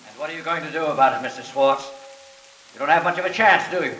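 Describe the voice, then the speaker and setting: A woman playing a cold, murderous theater owner in a 1940s radio drama, speaking quietly and sinisterly. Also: low voice, quick pace. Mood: taunting menace. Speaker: radio actor. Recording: echoey, distant, hissy old radio recording.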